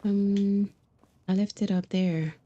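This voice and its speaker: A woman's voice: mid-range voice, steady tone, takes it slowly, mellow, lo-fi sound. A woman streamer talking casually and informally while she plays a video game, chatty with her viewers. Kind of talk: casual livestream chat while playing.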